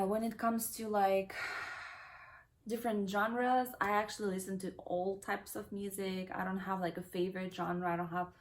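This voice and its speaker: A young woman whose first languages are Kazakh and Russian, reading questions aloud and answering them in a relaxed, rambling way with plenty of fillers. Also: medium pitch. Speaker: vlogger. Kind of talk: casual Q&A vlog.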